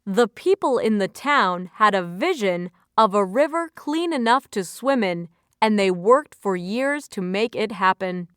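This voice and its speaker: A woman reading scripted dictionary-style definitions and example sentences in a measured, clearly enunciated way. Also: mid-range voice, expressive, a bit bright, no echo, studio quality. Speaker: vocabulary narrator.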